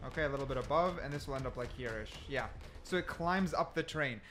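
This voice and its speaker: A man's voice: mid-range voice, fast talker, fairly bright tone, slight background noise. A man giving casual gameplay commentary, explaining things and repeating points for emphasis. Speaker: gaming video creator.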